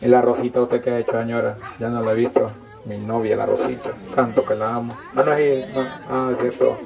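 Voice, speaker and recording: A man's voice: medium pitch, little pitch movement, brisk, gravelly. A man with a Salvadoran accent hosting a toy handout, giving informal, repetitive instructions to people. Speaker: host handing out toys. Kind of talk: informal announcing to a crowd. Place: outdoors on a village dirt road. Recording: outdoor crowd noise all around. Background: crowd of children and adults.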